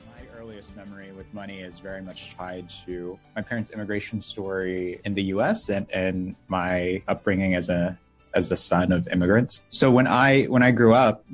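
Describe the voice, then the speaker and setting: Young male guest, very low voice, reflective, halting delivery. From Philippines, raised in the US. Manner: thoughtful, with frequent restarts and "like" fillers. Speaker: podcast guest. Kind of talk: casual interview chat.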